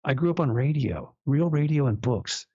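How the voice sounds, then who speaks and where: A man in his sixties from Los Angeles, a guest on a radio show, talking in an animated way with frequent restarts and laughs. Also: medium pitch, moderate pace, slightly rough, dry recording, lo-fi sound. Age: 60s. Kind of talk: casual radio interview.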